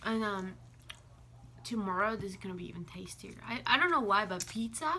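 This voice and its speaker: A woman's voice: medium pitch, lively intonation, a little fast, bright, forceful tone, a little hiss or hum. A woman co-hosting a mukbang vlog, chatting informally and teasingly while she eats. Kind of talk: casual chat while eating.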